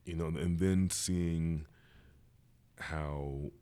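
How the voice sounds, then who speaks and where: A man's voice: a bass voice, steady tone, drawn-out words, mellow, clear, resonant tone. A man hosting a podcast, asking questions in an easygoing way with joking asides. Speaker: podcast host. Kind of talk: casual interview chat.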